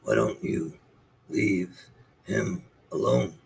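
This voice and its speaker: Guy doing a deadpan turtle, low and dry, slow. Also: creaky, slightly rough, exaggerated voice. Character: dry, blunt male turtle.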